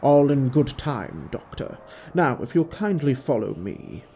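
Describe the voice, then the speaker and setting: A man voicing a sardonic, menacing villain in an audio drama, formal and unhurried, with music underneath. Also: medium pitch, big pitch swings, warm tone, slight background noise. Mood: cool mockery. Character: sardonic, menacing male villain, coolly toying with his captive.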